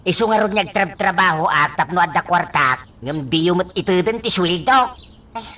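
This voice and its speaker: A woman's voice: fairly low pitch, moderate pace, fairly bright tone. A woman playing a sassy, comic household servant with a drawling delivery in an Ilocano radio drama. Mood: sassy, complaining.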